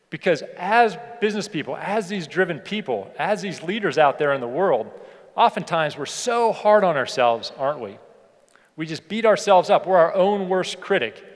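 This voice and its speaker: An American man delivers a motivational keynote in a somewhat high-pitched voice. He is conversational yet emphatic, often repeating his points. His words ring out with long reverb in a large hall. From United States (Pittsburgh). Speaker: keynote speaker, leadership educator. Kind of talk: motivational keynote speech with personal anecdotes. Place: large indoor hall, speaking to an audience.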